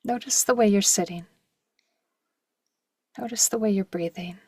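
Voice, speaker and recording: A woman leading a guided meditation slowly and gently in short, soft phrases, with a breathy voice that at times nears a whisper. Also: medium pitch, dry recording. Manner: slow, gentle, with long pauses and short phrases.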